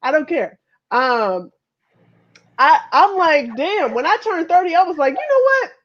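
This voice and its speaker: A woman's voice: high-pitched, big pitch swings, measured pace. An American woman in her thirties from Chicago, chatting casually and frankly with some swearing. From Chicago, United States. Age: 30s. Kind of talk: casual chat, personal reflection. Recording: dull lo-fi audio.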